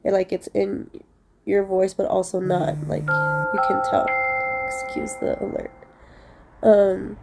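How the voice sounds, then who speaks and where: A woman's voice: medium pitch, mellow, a little hiss or hum. A young woman with a stuffy, congested voice, casually telling a rambling story to her viewers with many fillers. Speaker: vlogger.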